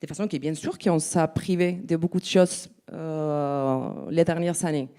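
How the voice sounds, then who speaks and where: A woman with a non-native French accent speaking on a conference panel, halting and searching for words with frequent restarts. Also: deep voice, steady tone, dark tone. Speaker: NGO representative on a panel. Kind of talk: spontaneous panel talk. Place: conference room.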